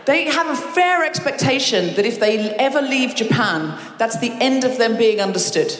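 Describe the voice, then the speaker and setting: A woman's voice: a little high, lively intonation, slight background noise. Teasing woman doing stand-up in a hall. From Australia. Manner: quick, teasing, chatty with audience. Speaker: comedy podcast host. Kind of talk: live stand-up crowd work. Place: large concert hall with live audience. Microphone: stage microphone. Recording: roomy hall with long reverb.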